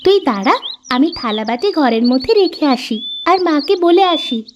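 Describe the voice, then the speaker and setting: An easygoing young village girl in a cartoon, voiced by a woman. Her voice is high, friendly and girlish. The recording is dull, with clear noise and chirping birds. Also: animated, normal speed. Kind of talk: cartoon story acting.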